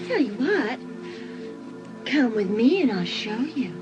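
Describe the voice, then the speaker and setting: A bold, provocative teenage girl, acted by a woman in a dramatised audiobook. Her high voice takes its time and swings widely as she teases and goads. Music plays behind her. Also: sing-song, slow pace, dull audio. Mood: teasing, excited. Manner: teasing, provocative.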